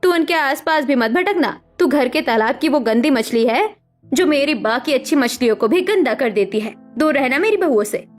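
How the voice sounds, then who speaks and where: A woman's voice: high-pitched, animated, moderate pace, soft background music. A woman voicing a two-faced, scolding middle-aged mother-in-law in a cartoon family drama. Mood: angry, scolding.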